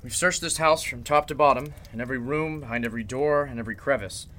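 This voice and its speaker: Confident district attorney, male actor for a golden age radio mystery: take-charge, suspicious, sharp forceful tone. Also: medium pitch, quick pace, slight background noise, close to an everyday voice. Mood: commanding, reassuring.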